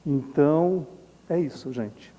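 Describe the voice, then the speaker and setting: A middle-aged Brazilian man giving a relaxed symposium lecture, explaining slides in a chatty way and often adding tag questions. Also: fairly high pitch, takes it slowly, lo-fi sound, background noise. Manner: conversational, frequent tag questions, chuckles often. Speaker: Jungian analyst lecturing at a symposium. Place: lecture hall. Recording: reverberant lecture hall.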